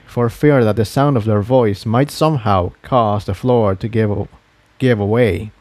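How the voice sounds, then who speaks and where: Mellow male story narrator, dry sound. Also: mid-range voice, no echo.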